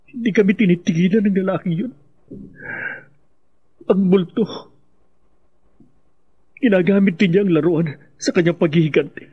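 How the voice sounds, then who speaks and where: Remorseful filipino husband confessing, husky highish voice, halting, radio drama, kinda muffled audio. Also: male voice. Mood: haunted, confessing. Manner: halting, heavy, remorseful delivery. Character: guilt-ridden husband confessing to robbery and killing.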